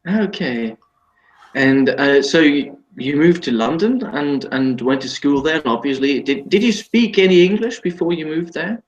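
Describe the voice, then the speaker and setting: A man interviewing a guest in casual conversation, halting and often repeating words as he shapes his questions. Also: fairly high pitch, lively intonation, muffled recording.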